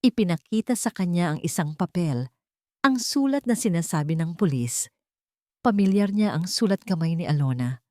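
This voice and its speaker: A woman's voice: on the low side, expressive, moderate pace, mellow, no room sound, studio quality. A woman with a Filipino accent narrating a dramatic story as a scripted audiobook.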